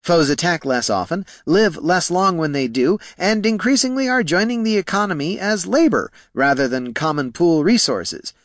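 A man voicing a timid, deferential fantasy clerk with a squeaky, anxiously formal manner in an audiobook. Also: expressive, moderate pace, few pauses, no echo, studio quality. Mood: nervous, squeaky. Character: nervous, deferential male Scribkin financial clerk.

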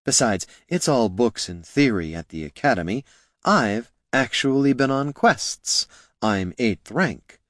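A male narrator plays a sharp young sorceress in a fantasy audiobook. She is proud and combative, with brash, cutting delivery that swings in pitch. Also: lively intonation, a little slow, no echo, studio quality.

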